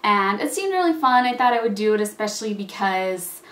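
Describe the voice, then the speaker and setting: A woman's voice: mid-range voice, lively intonation, brisk, fairly bright tone. Chatty young woman in an echoey room. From Russia. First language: Russian. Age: young adult. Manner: chatty, laughs often between words. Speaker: YouTuber. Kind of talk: casual chat to camera. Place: small indoor room with patterned wall.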